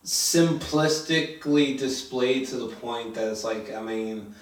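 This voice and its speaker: Echoey, distant man chatting casually about film. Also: medium pitch. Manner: halting, filler-heavy, swearing, animated when excited. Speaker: movie reviewer and host. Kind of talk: casual film review chat. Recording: echoey room, distant from mic.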